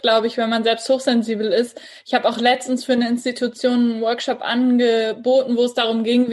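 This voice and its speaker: A woman hosting a podcast and talking conversationally with a guest. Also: on the high side. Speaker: podcast host and mindset coach.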